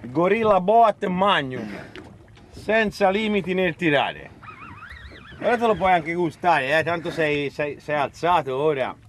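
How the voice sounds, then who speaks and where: A man's voice: fairly high pitch, lively intonation, unhurried, fairly bright tone, a little hiss or hum. A man hosting a fishing show, chatting casually on a small boat at sea and coaching his fellow angler.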